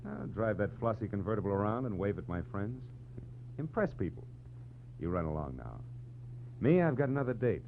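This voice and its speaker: An American actor playing a tough, sardonic private detective in 1940s radio style, with clipped, wisecracking delivery. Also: male voice, fairly low pitch, big pitch swings, a little fast, pauses often. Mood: wry. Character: wry, tough, cool male private detective. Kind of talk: 1940s radio detective acting, first-person narration. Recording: muffled, humming 1940s radio recording.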